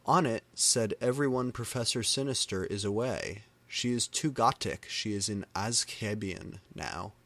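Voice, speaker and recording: Male reader, lowish pitch, unhurried, theatrical comic delivery of fan fiction, dry close sound. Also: a bit bright, no echo. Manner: reads misspellings and punctuation literally. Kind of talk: dramatic comedic reading aloud of fan fiction.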